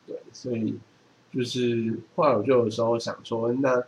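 A man's voice: mid-range voice, little pitch movement, normal speed, darkish tone. Taiwanese Mandarin man, noisy recording. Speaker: podcast co-host. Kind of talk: casual chat. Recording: clearly noisy background.

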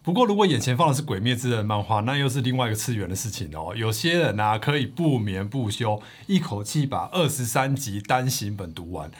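A man's voice: medium pitch, big pitch swings, brisk, few pauses, breathy edge. A man co-hosting a book podcast, reading scripted narration as a storyteller.

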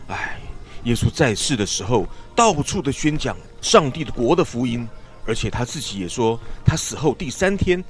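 An actor playing a talkative, weary village traveler in a radio drama, speaking in an emphatic, sighing, storytelling way. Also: male voice, mid-range voice, very animated, normal speed, lightly acted.

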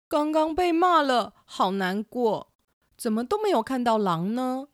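A woman's voice: high voice, sing-song, a little slow, no echo, clean studio sound, pitched above the performer's own voice. A woman voicing a plaintive, aggrieved young shepherd boy in a children's audio drama. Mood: hurt. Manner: plaintive, calling out.

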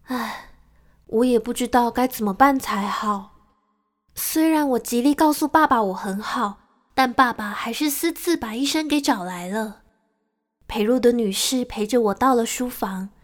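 Woman acting high-voiced anxious young noblewoman. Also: normal speed, close to an everyday voice. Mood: troubled narration. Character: anxious, frail young noblewoman, a daughter narrating. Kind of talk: audio drama acting and first-person narration.

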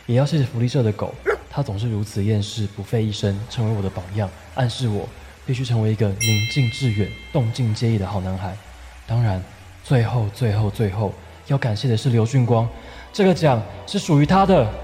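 A man playing a wordy, lovestruck high school boy in a radio drama, given to mock-solemn, theatrical turns. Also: a little fast, mellow, natural voice. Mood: mock-grand gratitude. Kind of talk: radio drama dialogue and inner monologue. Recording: music behind the voice.

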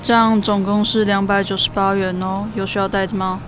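A woman's voice: medium pitch, level tone, a little fast, lightly acted. Woman acting a polite Taiwanese woman, noisy background. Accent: Taiwanese Mandarin. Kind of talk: audio drama. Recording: clear noise behind the voice.

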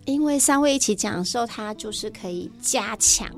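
A woman's voice: fairly high pitch, expressive, brisk, soft background music. A woman teacher with a Taiwanese Mandarin accent, explaining things conversationally as an interview guest.

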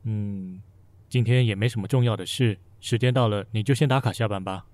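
An actor playing a reserved, considerate young company boss in a romantic radio drama, composed and understated. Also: male voice, on the low side, brisk, a little mellow, no echo, natural voice. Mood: calm. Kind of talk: modern urban romantic radio drama acting. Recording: faint noise, rain ambience.

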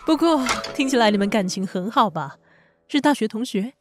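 A woman's voice: on the high side, animated, moderate pace, a little hiss or hum, close to an everyday voice. An actress playing a kindly, chatty female cake shop manager in an audio drama, with a Taiwanese accent. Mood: kindly, curious.

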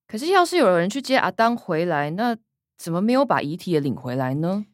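A woman's voice: on the low side, sing-song, a little fast, dry recording, studio quality. A woman playing a blunt, shrewd grown daughter in a radio drama, curt and questioning with a Taiwanese accent. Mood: probing.

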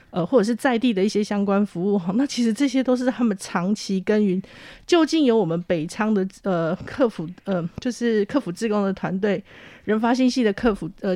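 A woman with a Taiwanese Mandarin accent gives a spontaneous, somewhat halting interview explanation. Her pitch is average for a woman, and the recording is very dry. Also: darkish tone, no room sound. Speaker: university staff interviewee.

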